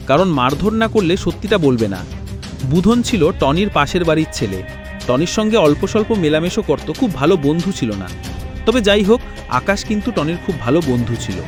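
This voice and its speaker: Highish, animated male story narrator. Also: moderate pace, over music. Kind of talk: scripted horror story narration.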